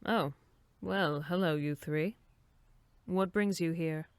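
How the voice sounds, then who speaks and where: A woman's voice: fairly low pitch, slow, a little hiss or hum. A woman playing a fussy, dismissive summer camp director in a horror audio drama, with prim, wordy phrasing. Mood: chirpy, hospitable.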